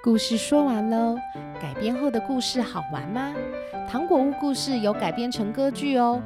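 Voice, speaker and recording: A woman narrating a children's story as host in a gentle, clear storytelling manner, recorded with simple home equipment. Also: a little high. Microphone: simple home recording setup.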